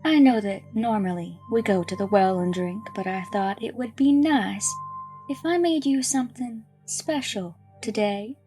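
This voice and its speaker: Woman acting slow, sinister schoolteacher over music. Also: medium pitch, warm tone, close to an everyday voice. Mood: coaxing, sinister. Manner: measured, preachy, philosophical. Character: sinister, pious female schoolteacher, calmly menacing. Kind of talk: dramatic horror audio story acting.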